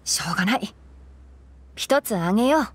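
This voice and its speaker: A woman playing a calm, knowledgeable young male forest ranger in an anime game, explaining things in a composed, gently teasing way. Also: on the high side, moderate pace, slightly rough. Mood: gently firm. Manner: explanatory, composed, lightly dry. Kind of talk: Japanese anime game voice acting.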